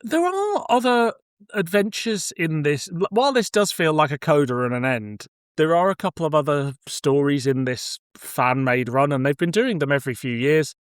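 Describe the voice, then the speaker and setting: A man's voice: fairly high pitch, lively intonation, moderate pace, smooth, dry recording, studio quality. A man hosting a podcast in casual discussion, conversational and thinking aloud as he goes. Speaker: podcast host.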